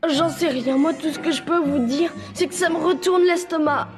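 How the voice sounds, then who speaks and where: Cartoon kid played by a woman: very high voice, slangy, blunt, wary wisecracker, music behind. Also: moderate pace, lightly acted. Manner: blunt, colloquial. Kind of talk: French cartoon dubbing.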